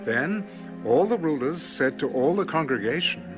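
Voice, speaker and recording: Expressive male scripture narrator over music. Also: on the high side, normal speed.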